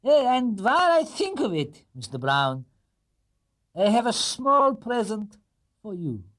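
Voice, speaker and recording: A man voicing a kindly elderly gentleman in a children's story, courteous and ponderous, with a halting, deliberate delivery. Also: high-pitched, very animated, pitched above the performer's own voice, heavily acted. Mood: fond, courteous.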